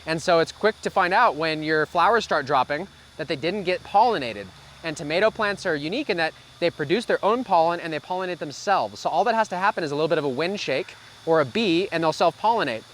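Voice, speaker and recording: A man's voice: on the high side, expressive, fast talker, a bit bright, slight background noise. A man co-hosting a casual Q&A, explaining growing practices in a practical, folksy way.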